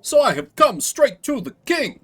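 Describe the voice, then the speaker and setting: A man's voice: very high-pitched, sing-song, normal speed, a bit bright, voice pushed up, exaggerated voice. A man voicing a boastful, theatrical dragon slayer in a fantasy video game, pompous and florid in his storytelling. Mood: eager, self-important. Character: boastful male dragon slayer, grandiose, theatrical, self-styled hero.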